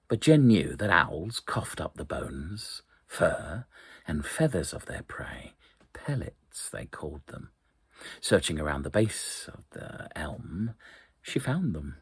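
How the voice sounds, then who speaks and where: A man reading an eerie short story aloud as a storyteller, with a breathy, husky voice and hushed, suspenseful, deliberate phrasing full of dramatic pauses. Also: low-pitched, no echo.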